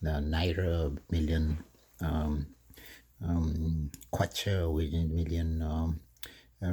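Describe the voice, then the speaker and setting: Deep-voiced man, slow and rambling. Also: a little hiss or hum. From Kenya. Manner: slow, rambling, with many fillers and soft laughs. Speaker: vlogger. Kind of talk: casual cooking vlog monologue. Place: home kitchen.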